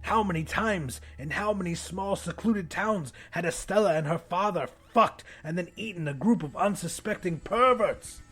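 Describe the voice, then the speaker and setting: A man's voice: high-pitched, normal speed, hardly any pauses, a bit bright, breathy edge. A man narrating a horror audiobook in the first person, with an intense, theatrical delivery.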